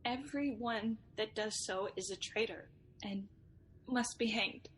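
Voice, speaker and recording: A woman's voice: on the high side, a little slow, a bit bright, slight background noise. A woman playing an anguished noble mother, bitter and frightened, in theatrical Shakespearean radio drama. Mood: sorrowful, teasing. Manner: theatrical, emotional, declamatory.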